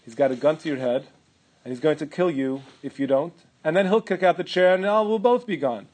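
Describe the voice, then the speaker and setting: A desperate older father at the gallows presses his son with urgent, commanding words, as a man reads him. The voice is high for a man and carries a raw, insistent force. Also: fairly quick, close to an everyday voice. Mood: pleading. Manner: pleading, then shouting orders.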